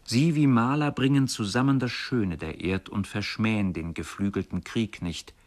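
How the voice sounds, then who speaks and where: Low male voice, reading poetry and literary stuff for radio, measured and formal. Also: fairly even intonation. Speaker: radio feature speaker. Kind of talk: literary narration and poetry recitation.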